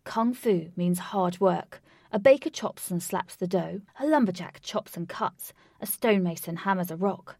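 A woman's voice: on the low side, moderate pace, few pauses, darkish tone, no echo, clean studio sound. A woman voicing an earnest male martial-arts leader in a storybook, speaking firmly and instructively. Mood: rallying. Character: steady, earnest male martial-arts hero leading his friends.

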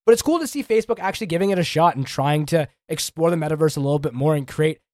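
Young guy hosting a tech podcast, bit under the weather, talks fast, lots of likes and restarts. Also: fairly high pitch, animated, a little mellow, dry recording, clean studio sound. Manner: quick, chatty, many restarts and "like"s. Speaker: podcast co-host. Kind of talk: casual tech podcast chat.

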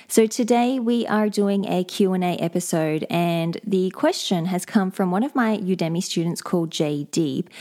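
A woman's voice: on the low side, a little mellow. Woman hosting a leadership podcast. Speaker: podcast host and leadership coach. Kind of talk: solo podcast monologue.

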